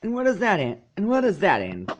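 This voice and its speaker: Male reader as young filly, storybook pony, calm, firm, matter-of-fact, level-headed. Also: sits high, fairly quick, dull audio, a little hiss or hum, pitched above the performer's own voice. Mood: firm, encouraging.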